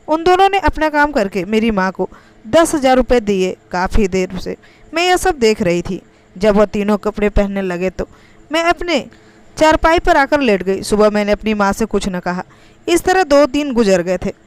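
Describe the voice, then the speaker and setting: Woman telling an emotional story in first person, really clean smooth voice, medium pitch. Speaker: story narrator.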